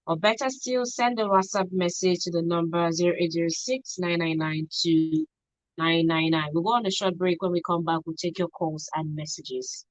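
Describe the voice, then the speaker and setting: Low-pitched Nigerian woman radio host. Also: steady tone, normal speed, dry recording, rough recording. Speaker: radio talk show host. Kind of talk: live radio presenting.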